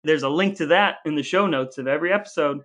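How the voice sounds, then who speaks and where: Male podcast co-host, American, slightly high voice, articulate and explanatory in relaxed discussion. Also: fast talker. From United States.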